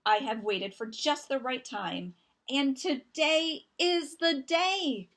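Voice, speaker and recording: A kindly storybook farmer, read by a woman, patient and reassuring. The words come very slowly and deliberately. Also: high-pitched, a bit bright, pitched above the performer's own voice, full character voice. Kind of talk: children's storybook character voice.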